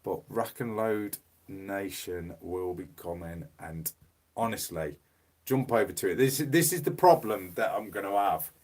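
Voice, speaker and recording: Male streamer, fairly low voice, hoarse and fading, loose rambling phone-mic chat. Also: lively intonation, measured pace, a little mellow. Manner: rambling, repeats words. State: losing his voice, coughing. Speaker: livestream host. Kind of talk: casual livestream chat reading comments. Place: small home room. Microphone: mobile phone.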